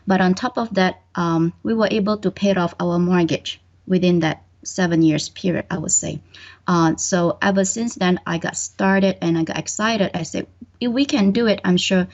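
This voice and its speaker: A woman's voice: on the low side, normal speed, darkish tone. A middle-aged woman from Indonesia, raised in Malaysia, answering interview questions hesitantly with many ums. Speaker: bookkeeper and guest. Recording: dull lo-fi audio.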